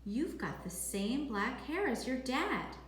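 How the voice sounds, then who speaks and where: Woman voicing a gruff, curt old grandfather, echoey room. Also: extremely high pitch, very animated, takes it slowly, a bit bright, voice pushed up, heavily acted. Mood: musing. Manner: clipped, blunt, matter-of-fact. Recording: echoey room with faint noise.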